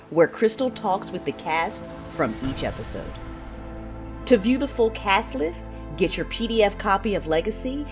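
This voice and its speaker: A woman reading a scripted podcast outro and credits as an announcer. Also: fairly low pitch.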